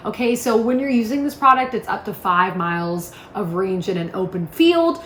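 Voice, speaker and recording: A woman's voice: medium pitch, expressive, moderate pace, fairly bright tone. A woman giving a casual product demonstration in an upbeat, informal, chatty way. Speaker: product presenter. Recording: echoey room with faint noise.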